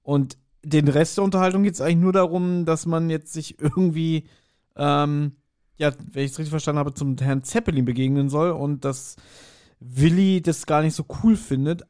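A man's voice: fairly high pitch, a little mellow, smooth. A man co-hosting a podcast, casually discussing an audio drama in a colloquial, speculative way. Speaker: podcast co-host.

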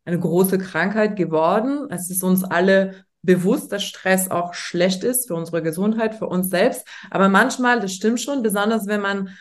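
A woman's voice: mid-range voice, moderate pace, few pauses. A woman from France with a French accent, a native French speaker, talking as a guest in a casual interview in a thoughtful, hesitant way with frequent fillers. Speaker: guest, startup founder.

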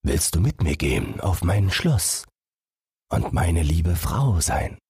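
A man voicing a kindly, commanding fairy-tale king in a gentle, measured way. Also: deep voice, very animated, slightly rough, no echo, clean studio sound, exaggerated voice. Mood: kindly, stately.

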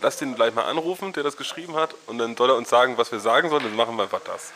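A man's voice: medium pitch, very fast, bright, forceful tone, a little hiss or hum. A man co-hosting a podcast with dry, ironic comedic banter in a casual style. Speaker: podcast co-host.

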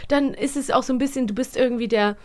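Eine Frau moderiert einen Hörspiel-Podcast und kritisiert offen im lockeren Plauderton, mit vielen Füllwörtern. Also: female voice, sits high, fast talker, over a noisy crowd. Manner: candid, critical, filler-heavy. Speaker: podcast host. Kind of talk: casual podcast review chat.

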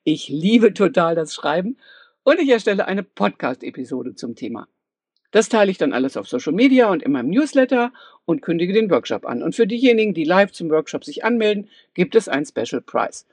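A woman's voice: fairly low pitch, very animated, a little fast, a little mellow, no room sound. A woman who works as a voice coach, giving an enthusiastic podcast monologue of business tips. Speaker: voice coach for singers.

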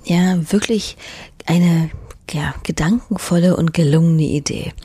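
Woman host reading her script, soft half whisper, deep and breathy, dry sound. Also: a little slow, no room sound. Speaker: podcast host. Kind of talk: scripted narration.

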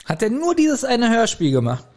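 A man's voice: very high voice, wildly swooping pitch, moderate pace, darkish tone. A middle-aged man co-hosting a podcast, chatting in a casual, colloquial and jokey manner. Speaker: podcast co-host. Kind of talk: casual chat.

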